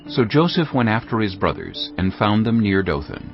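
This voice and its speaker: A man with a deep voice and wide expressive inflection narrates scripture. His delivery is measured and dramatic, with faint music underneath. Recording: dull audio over background music.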